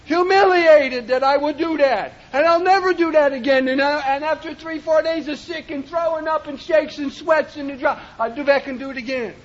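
A man giving a personal testimony at a recovery meeting, impassioned and often shouting, with rhythmic repetition. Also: very high-pitched, normal speed, few pauses. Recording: dull lo-fi recording.

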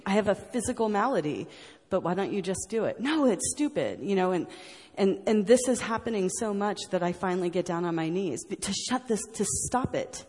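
A woman's voice: lowish voice, darkish tone, long reverb tail. An American woman telling her personal story to an audience, earnest and rambling, with many fillers and restarts. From United States. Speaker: speaker sharing her story at a recovery meeting.

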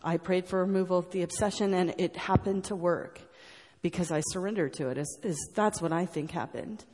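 A woman's voice: on the low side, a little fast, a little mellow, husky, big-room sound. An American woman telling her personal story to an audience, earnest and rambling, with many fillers and restarts. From United States. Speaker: speaker sharing her story at a recovery meeting.

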